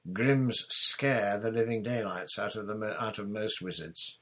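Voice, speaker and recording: A man's voice: fairly low pitch, unhurried, a bit bright. A man voicing an earnest, easily riled schoolboy with a serious, hesitant manner in a storybook reading. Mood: annoyed.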